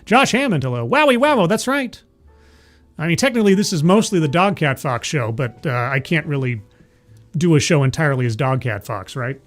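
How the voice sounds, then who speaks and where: Chatty man in his forties, slightly high. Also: lively intonation, a little fast. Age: 40s. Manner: rambling, self-deprecating, laughs often. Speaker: livestream host. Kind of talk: casual chat with live chat.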